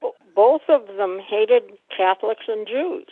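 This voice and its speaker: A woman in a casual group discussion, halting and repeating phrases, heard over a lo-fi call. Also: mid-range voice, lively intonation, noisy background. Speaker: book club participant. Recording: dull, lo-fi call audio.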